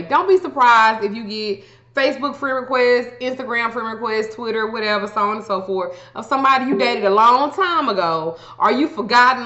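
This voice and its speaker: A woman's voice: fairly high pitch, sharp tone. A woman vlogger chatting casually to viewers as she explains things, blunt and profane, with joking asides, in a muffled, echoey room recording. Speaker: astrology vlogger giving tips. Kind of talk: casual explainer chat to camera.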